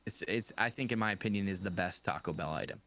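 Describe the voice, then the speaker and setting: A man's voice: deep voice, fast, a bit bright. A man, chatting playfully and emphatically as he plays a card game. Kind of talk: casual banter during a card game.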